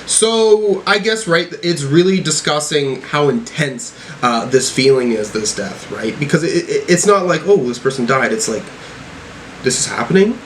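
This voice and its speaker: A man's voice: a little high, animated, low-quality recording. Casual rambling man over noticeable background noise. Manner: halting, rambling, slangy with occasional swearing. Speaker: music reviewer reacting to albums. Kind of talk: casual off-the-cuff reaction commentary.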